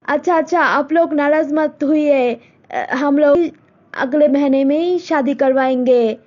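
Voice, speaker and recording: A woman's voice: high-pitched, unhurried, darkish tone, lo-fi sound. Woman voicing stern, anxious village mother. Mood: firm. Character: worried, stern middle-aged village mother.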